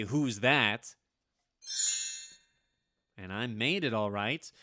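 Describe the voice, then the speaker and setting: A man's voice: mid-range voice, pushed and bright, no room sound, studio quality. An actor playing an anxious, henpecked history professor in a vintage radio drama, stammering as he confides in the listener.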